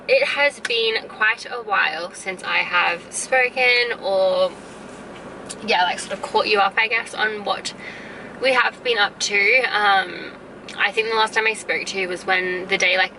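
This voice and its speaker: A woman's voice: mid-range voice, far from the mic, noisy background. An Australian woman vlogger catching her viewers up in rambling, casual chat, her voice congested from a head cold and cough. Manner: rambling and chatty, full of "um" and "like".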